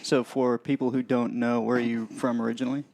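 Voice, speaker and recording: A man's voice: mid-range voice, level tone, brisk, a little mellow. A man hosting a podcast and asking his guest plain, direct questions. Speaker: podcast host. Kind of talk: interviewing a guest.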